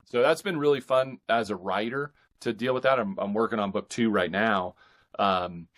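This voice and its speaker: A man speaking as an author guest in a casual interview, haltingly, thinking aloud and repeating phrases as he goes. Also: lowish voice, fairly bright tone. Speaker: podcast guest, fiction author.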